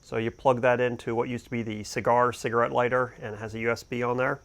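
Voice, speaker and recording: A man's voice: mid-range voice, flat delivery, fast talker, a bit bright. A man hosting a boating gear review, talking casually and off the cuff as he thinks aloud. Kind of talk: casual unboxing and review talk, unscripted.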